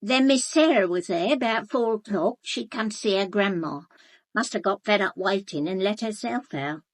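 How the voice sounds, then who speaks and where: An actress playing a gossipy, blunt English housekeeper in a British radio drama, chatty, colloquial and confiding. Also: female voice, mid-range voice, normal speed, dry recording, close to an everyday voice. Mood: matter-of-fact. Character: gossipy, blunt, sharp-eyed housekeeper, a working-class woman. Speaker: actor in a radio murder mystery.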